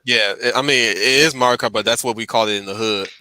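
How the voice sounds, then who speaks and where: A man's voice: mid-range voice, quick pace, sharp tone, dry recording. A man chatting casually on a stream in an emphatic, slangy way, often repeating his phrases. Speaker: stream host. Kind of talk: casual chat about films.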